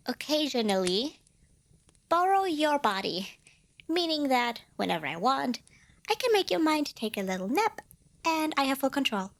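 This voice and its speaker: A woman performs a little female demon in an intimate roleplay for the listener. Her voice sits high and leaps around in pitch. She is proud and indignant, boasting grandly, then hedging and correcting herself like a flustered small saleswoman. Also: fairly bright tone, heavily acted. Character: tiny cute female demon, proud, flustered, bargain-loving. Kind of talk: ASMR roleplay addressed to the listener.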